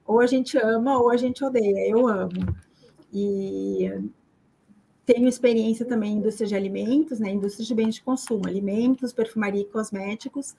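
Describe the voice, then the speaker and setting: A woman's voice: a little high, fairly even intonation, normal speed, vocal fry, mellow, a little hiss or hum, poor audio. A woman who is a chemical engineer in packaging, introducing herself in an upbeat, casual way on a panel. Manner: upbeat, self-introducing with "é" fillers.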